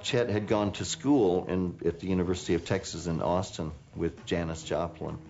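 A man's voice: deep voice, fairly even intonation, normal speed, a little mellow, dull audio. A man recalling events in a matter-of-fact storytelling way in a documentary interview.

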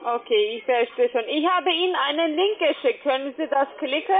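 A high-pitched woman speaks over a narrow, tinny phone connection. She has a non-native, foreign accent and a blunt, pushy call-centre manner. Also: moderate pace. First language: not German. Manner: blunt, insistent. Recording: tinny, muffled phone line.

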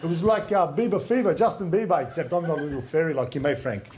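A man's voice: a little high, fast, natural voice. An Australian man playing a cocky, hyped-up young suburban DJ with slangy, boastful bro-heavy talk. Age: young adult. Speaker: comedy performer on a sports show. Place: TV studio and filmed sketch locations. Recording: loud music and crowd behind voice.

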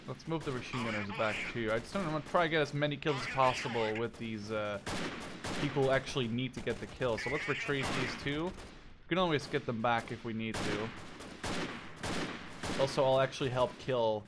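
A man in his twenties giving casual let's play commentary, thinking out loud in short phrases as if talking to himself. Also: mid-range voice, brisk, very noisy. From Canada or Netherlands. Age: 20s. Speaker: gaming YouTuber playing and commentating.

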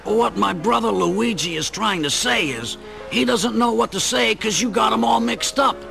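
An actor playing a blunt, wry older brother in a radio play. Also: male voice, high-pitched, moderate pace, gravelly, fairly bright tone, soft background music, full character voice, poor audio. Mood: explaining. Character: blunt, wisecracking protective older brother from Brooklyn.

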